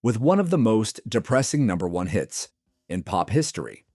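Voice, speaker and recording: A man's voice: fairly low pitch, big pitch swings, normal speed, a little mellow, no echo, studio quality. A man reading a scripted music countdown as its narrator.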